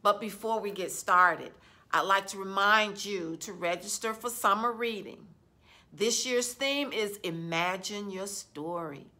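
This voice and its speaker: A woman librarian reading a children's novel aloud in a gentle, expressive storytelling manner. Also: medium pitch. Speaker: youth services librarian.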